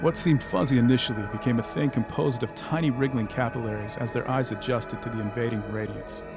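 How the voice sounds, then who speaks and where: A man narrating a scripted supernatural horror tale in a measured, literary way. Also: medium pitch, lightly acted. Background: music.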